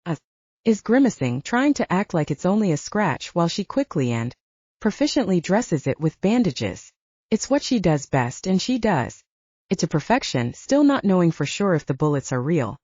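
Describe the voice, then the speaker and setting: A woman's voice: lowish voice, sing-song, moderate pace, darkish tone, breathy edge, dry recording, dull audio. A woman reading an audiobook, narrating dramatic fiction in a scripted style.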